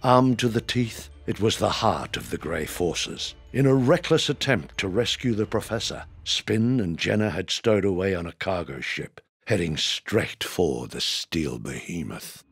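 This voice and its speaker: Very deep male narrator. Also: lively intonation, a little slow, few pauses, husky, faint music behind. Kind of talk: scripted dramatic narration.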